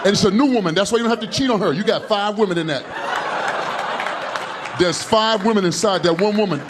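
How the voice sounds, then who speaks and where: Man in his 50s, stand-up comic, handheld mic on a club stage, loud and animated, live audience laughter and room noise. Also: high-pitched, brisk, crowd noise. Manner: loud, animated, slangy storytelling with heavy gestures. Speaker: stand-up comedian. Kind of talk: stand-up comedy routine.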